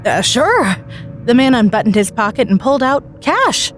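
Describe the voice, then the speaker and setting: A woman reading a scripted horror story expressively, with dramatic pauses. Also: on the high side, expressive. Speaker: story narrator. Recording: music bed behind the voice. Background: background music.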